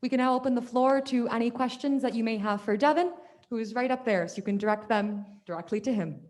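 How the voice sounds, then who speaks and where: A woman's voice: fairly high pitch, fast talker, a little mellow. A young woman chairing a student government meeting, effusive and upbeat, heaping praise on speakers. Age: young adult.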